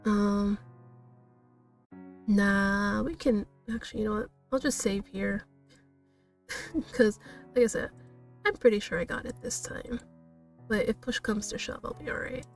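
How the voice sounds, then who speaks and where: A woman's voice: mid-range voice, measured pace, pauses often, far from the mic, faint music behind. A woman streaming a visual novel, switching between read-aloud narration and casual, giggly, self-deprecating commentary.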